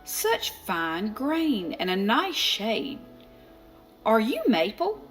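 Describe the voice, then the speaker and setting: Storybook role: vain, pompous grand lady played by a female reader, condescending, slow and raised in pitch, music behind. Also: huge pitch swings, fairly bright tone, full character voice. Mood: admiring. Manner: pompous, drawn-out condescending phrases. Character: haughty vain grand lady visitor, condescending wooden puppet.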